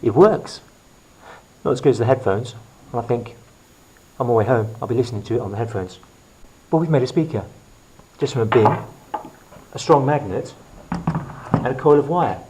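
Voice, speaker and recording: A man presenting a hands-on science demonstration as a teacher, explaining to camera in short, deliberate phrases. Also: mid-range voice, warm tone. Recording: clearly noisy recording with clicks.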